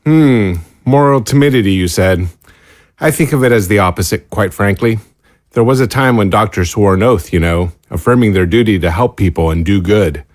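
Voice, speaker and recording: A man's voice: on the low side, normal speed, a little mellow, no echo, clean studio sound, natural voice. A man in an audio drama playing a principled, defiant imprisoned doctor with a sardonic, educated, indignant manner. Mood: defiant, dignified. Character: principled, defiant male doctor held prisoner.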